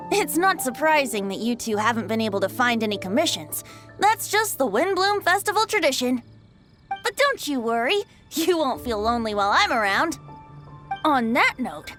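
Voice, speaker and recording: A woman voicing a cheeky, boyish bard in a video game, theatrical, flowery and playfully smug. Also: high voice.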